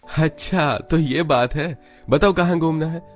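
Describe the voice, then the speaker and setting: An easygoing young husband from a cartoon, acted out by a male narrator. The voice is pitched up and light music runs underneath. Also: a little slow. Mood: cheerful, explaining.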